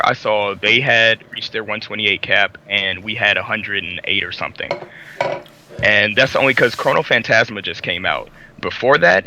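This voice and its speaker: A man on a podcast with a worn, raspy voice, defensively laying out numbers in a casual debate. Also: fairly low pitch, bright, forceful tone, highs cut off, slight background noise. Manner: defensive, rattles off numbers and facts. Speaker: podcast co-host.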